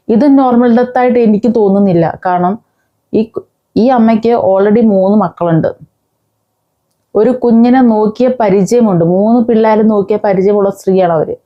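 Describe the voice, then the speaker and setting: A woman vlogger, giving angry, scolding commentary with many pauses and restarts. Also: mid-range voice, dull audio. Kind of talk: angry commentary on a news case.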